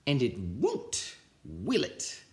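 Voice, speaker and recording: A man voicing a strict, scheming, witchy woman substitute teacher in a storybook, scratchy and drawn-out. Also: medium pitch, huge pitch swings, voice pushed up, heavily acted. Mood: sly threat. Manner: slow, drawn-out commands.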